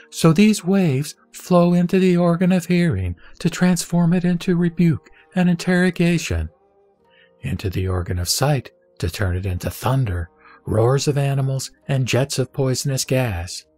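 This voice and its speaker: A man reciting a religious text as solemn, dramatic scripted narration, with measured, deliberate and emphatic phrasing. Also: medium pitch, a little mellow. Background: background music.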